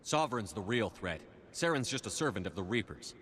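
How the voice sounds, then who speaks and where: A man's voice: lowish voice, very animated, a little fast, a bit bright, slight background noise. An actor playing a determined human military commander in a video game, blunt and firm in his delivery. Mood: urgent, insistent. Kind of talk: video game cutscene voice acting.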